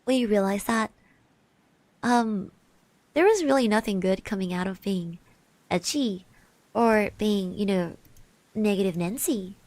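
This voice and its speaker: A woman speaking softly in an ASMR roleplay, gently reassuring the listener, musing with pauses and little hums, close to the microphone. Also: medium pitch, no room sound. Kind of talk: soft-spoken ASMR roleplay, personal encouragement. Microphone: close microphone, dry.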